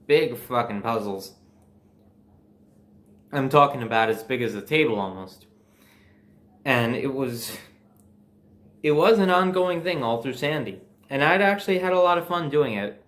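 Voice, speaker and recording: A young man telling personal stories in a casual, animated way, swearing freely and acting out bits of dialogue. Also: medium pitch. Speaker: storyteller.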